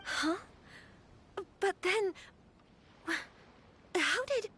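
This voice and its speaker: An actress playing a young noblewoman in a video game, polite, earnest and hesitant, speaking in formal, measured dialogue. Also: extremely high pitch, expressive, bright, forceful tone, slight background noise, full character voice. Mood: flustered. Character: troubled young noblewoman, polite, earnest, doubting her engagement.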